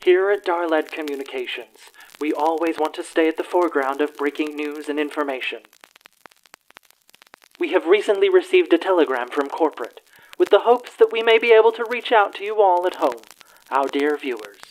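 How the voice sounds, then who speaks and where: A performer playing an earnest radio news anchor in a radio play, with formal, measured, theatrical announcer phrasing. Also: medium pitch, slight background noise, natural voice, rough recording. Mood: solemn, reassuring. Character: earnest troll radio news anchor hosting an evening broadcast.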